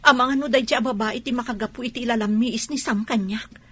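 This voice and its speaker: A woman's voice: a little high, fairly even intonation, fast talker, sharp tone, slightly rough. A woman playing a young wife who protests her innocence indignantly. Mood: worried. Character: young wife, defensive and wronged.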